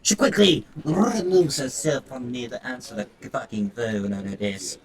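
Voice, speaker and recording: A man's voice: mid-range voice, sing-song, measured pace, recorded from a distance, crowd noise. A man doing casual game commentary and reading text aloud, crude and sarcastic, with frequent swearing. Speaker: let's play streamer.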